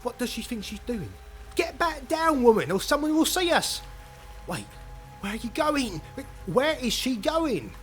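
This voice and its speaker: A very high-pitched, swooping voice as a cowardly, grasping soldier. He grumbles and blusters in coarse slang. It is audio drama, with music clearly running behind him. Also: sing-song, a little slow, a bit bright, heavily acted. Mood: grumbling, exasperated. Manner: blustering, rough slangy talk. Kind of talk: full-cast audio drama acting.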